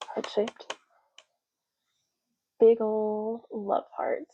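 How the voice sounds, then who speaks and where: Soft, breathy woman doing slow ASMR roleplay. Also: mid-range voice, monotone, low-quality recording. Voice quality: soft, breathy, at times dropping to a whisper. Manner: gentle, unhurried, lingers on and repeats words. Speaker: ASMR creator playing an ID card clerk in a roleplay.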